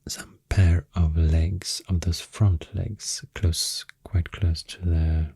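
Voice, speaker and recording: A man with a Swedish accent softly rambling through an ASMR explanation, breathy and often near a whisper, soothing and hesitant in manner. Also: sits low, little pitch movement, slow, no echo. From Sweden. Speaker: ASMR host.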